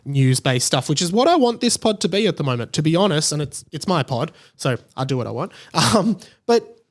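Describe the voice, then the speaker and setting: Male podcast host, slightly high-pitched, conversational and rambling monologue style. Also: sing-song, brisk. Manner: rambling, conversational, often tags points with "right?". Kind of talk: casual solo podcast monologue.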